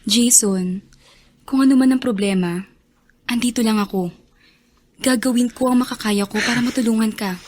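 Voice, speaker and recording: A woman's voice: on the high side, normal speed, pauses often, darkish tone, natural voice. A woman playing a caring young friend in a Filipino radio drama, earnest and concerned, with a Filipino accent. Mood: supportive. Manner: concerned, urging.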